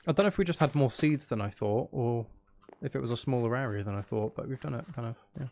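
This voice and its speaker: A man's voice: medium pitch, fast talker, darkish tone, a little hiss or hum. A man streaming a video game, chatting casually in a rambling, hesitant way as he thinks aloud. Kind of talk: casual live-stream chat while playing.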